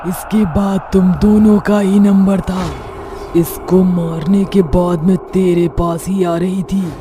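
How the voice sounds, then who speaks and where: A woman's voice: lowish voice, steady tone, takes it slowly, over music. A woman voicing a vengeful, bitter female witch ghost in a horror cartoon, speaking in a menacing, declaiming way. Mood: menacing, gloating. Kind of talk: Hindi horror cartoon voice acting.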